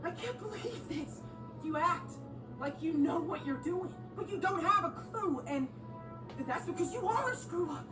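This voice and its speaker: Woman voicing an earnest high-pitched teenage boy. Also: expressive, a little slow. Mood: angry, exasperated. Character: earnest, emotional teenage boy, younger brother. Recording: echoey, distant, music bed.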